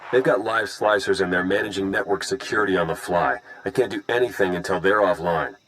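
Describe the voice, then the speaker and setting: An actor playing a wry, easygoing spy and hacker in a video game, talking in a casual, dry way. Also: male voice, low voice, fairly quick, a bit bright, slight background noise, rough recording. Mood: focused. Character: wry, easygoing male spy and slicer ally.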